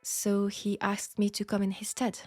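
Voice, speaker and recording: A woman's voice: medium pitch, completely flat delivery, moderate pace, darkish tone, close to an everyday voice. A woman with a French accent playing a polite, formal, self-assured seamstress in a steampunk audio roleplay drama. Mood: polite, composed. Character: proud, outspoken, formal young seamstress in steampunk Paris.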